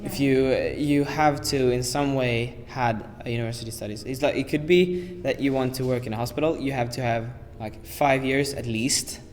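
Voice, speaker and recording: A man's voice: medium pitch, steady tone, moderate pace, hardly any pauses. An eighteen-year-old man from Sweden, a native Swedish speaker, explaining things hesitantly with many restarts during a casual discussion. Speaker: exchange student. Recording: reverberant hall with faint noise.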